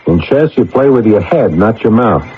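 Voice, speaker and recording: Flustered scientist, lively pitch, hesitant, earnest, old radio drama, dull recording. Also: male voice, normal speed, slightly rough. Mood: mildly irritated. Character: earnest, flustered male inventor and computer scientist. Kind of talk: 1980 radio drama acting and narration. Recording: dull lo-fi 1980 radio recording, faint noise.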